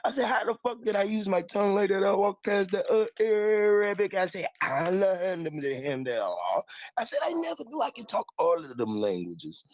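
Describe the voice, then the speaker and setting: A man's voice: sits high, big pitch swings, normal speed. A man in a put-on Caribbean island accent, rambling and boasting in profane casual chat on a call-in show.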